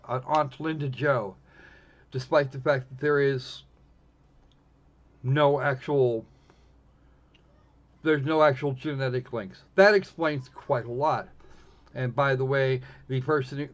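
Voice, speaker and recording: A man giving casual, snarky live commentary on a game, blunt and halting, often restarting his phrases. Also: medium pitch. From United States. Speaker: live streamer playing a visual novel. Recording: dull, lo-fi stream audio.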